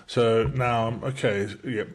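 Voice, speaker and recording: Fast-talking South African man. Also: medium pitch, fairly even intonation. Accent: South African, softened by decades in the UK. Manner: fast-talking, with many restarts and fillers. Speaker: entrepreneur demonstrating software. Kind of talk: casual screen-share tutorial. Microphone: webcam in a small circle over the screen recording.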